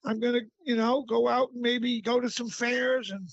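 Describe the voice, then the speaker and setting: A middle-aged man with a raspy voice, a guest author talking casually in an interview, halting often with fillers. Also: very high-pitched, steady tone, dry recording, highs cut off. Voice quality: raspy, gravelly.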